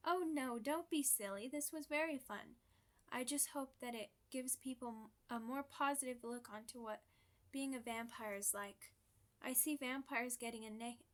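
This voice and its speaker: A woman's voice: a little high, fairly bright tone. A woman voicing a polite, chatty, proud old female vampire being interviewed, speaking in genteel, slightly formal phrases for an ASMR roleplay. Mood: polite, earnest.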